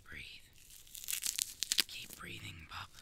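A woman voicing a protective, steady werewolf mentor in terse, coaxing whispered phrases for an ASMR roleplay. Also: very slow, exaggerated voice. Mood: soothing, coaxing. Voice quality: breathy, husky.

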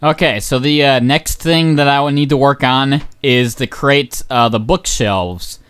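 Young male game commentator, smooth voice, hoarse, rambling and excitable, many ums. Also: medium pitch, unhurried. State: voice going hoarse. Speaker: gaming channel host. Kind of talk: casual gameplay commentary.

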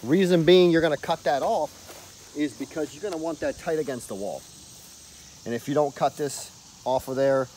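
A man's voice: medium pitch, a little mellow, a little hiss or hum. A middle-aged man casually explaining a home project step by step in his garage and yard, filmed on a handheld camera. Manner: chatty, plainspoken, explains step by step. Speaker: DIY vlogger. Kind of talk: casual how-to vlog. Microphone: handheld camera close up.

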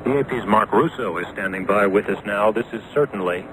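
A man anchoring live breaking news, halting with many fillers, on a noisy shortwave recording. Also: fairly low pitch.

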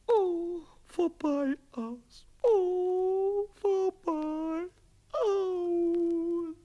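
Very high, squeaky little kobold. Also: male voice, extremely slow, muffled recording, exaggerated voice. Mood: tearful, dejected. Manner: stammering, repeats words excitedly. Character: small impish childlike kobold, cheeky, excitable, naive. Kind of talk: 1970s German children's radio play acting.

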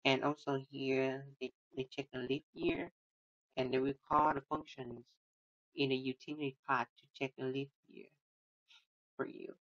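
Halting man walking through code. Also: medium pitch, little pitch movement, fairly bright tone. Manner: halting, many restarts and fillers, non-native phrasing. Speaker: student presenting a coding project. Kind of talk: screen-recorded code walkthrough. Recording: dull lo-fi sound.

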